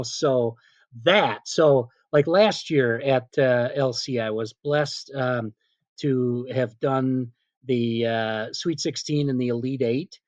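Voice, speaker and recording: A middle-aged man, a PA announcer being interviewed, telling casual anecdotes in an animated way and quoting remembered dialogue. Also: mid-range voice, no echo, lo-fi sound. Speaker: stadium PA announcer, interview guest.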